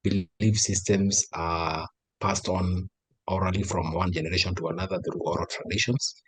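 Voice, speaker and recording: A man with a Kenyan accent, an interview guest explaining things in measured, formal phrasing. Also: low voice, flat delivery, husky, low-quality recording. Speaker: patient advocate guest.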